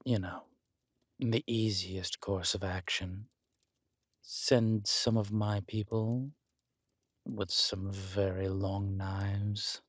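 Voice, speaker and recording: Guy acting as a villain king husband for roleplay audio, low voice, really slow, teasing n menacing. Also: no echo, clean studio sound. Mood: coolly menacing. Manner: languid, teasing, menacing, drawn-out delivery. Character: scheming villain king, analytical, flirtatious, vengeful husband. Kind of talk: intimate roleplay audio drama.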